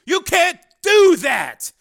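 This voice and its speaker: A man voicing a desperate male project chief who speaks in a strained, halting way and bursts into furious shouts. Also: fairly high pitch, expressive, exaggerated voice. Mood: shouting.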